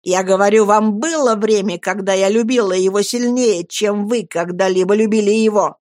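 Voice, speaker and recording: A woman reading an audiobook who voices a bitter, scarred young woman, hurling shrill, accusing, impassioned outbursts. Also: moderate pace, darkish tone, no echo, clean studio sound, close to an everyday voice.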